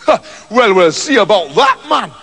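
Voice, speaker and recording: A man's voice: sits high, big pitch swings, moderate pace, creaky, a bit bright, breathy edge, long reverb tail, heavily acted. A man voicing a boastful, rude, cheerfully violent male hero with a cocky, bossy way of talking, in a storybook reading.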